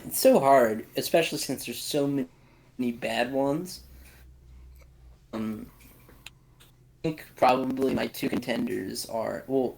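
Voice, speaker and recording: Male panelist, average pitch, casual and bluntly dismissive, repeats himself for emphasis. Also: gravelly, a little mellow, poor audio. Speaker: podcast panelist. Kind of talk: casual chat, opinionated movie discussion.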